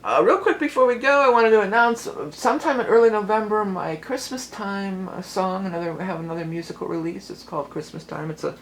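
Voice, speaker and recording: A woman with a lowered voice, doing a chatty, rambling tarot reading for her vlog, full of fillers and restarts. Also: brisk, few pauses, low-quality recording. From Cleveland, Ohio. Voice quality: lower voice than she once had. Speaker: tarot reader and musician.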